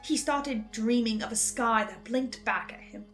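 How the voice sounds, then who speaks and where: High-pitched woman giving a pensive recap. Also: a little slow, fairly bright tone. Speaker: host of a fan recap series. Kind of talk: dramatic investigative recap monologue. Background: music.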